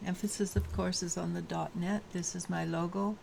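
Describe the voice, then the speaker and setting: A woman with a low voice giving a daily tarot reading, halting and full of restarts. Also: fairly even intonation, creaky, a little mellow, a little hiss or hum. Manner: halting, many restarts and fillers. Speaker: tarot reader.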